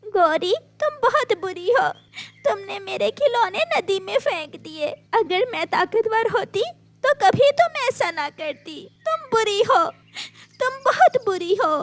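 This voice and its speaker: A woman voicing a gentle, hard-working mother sparrow in a children's cartoon, earnest and plaintive. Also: very high-pitched, lively intonation, a little slow, pitched above the performer's own voice, full character voice. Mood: tearful, indignant. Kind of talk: Hindi children's cartoon voice acting.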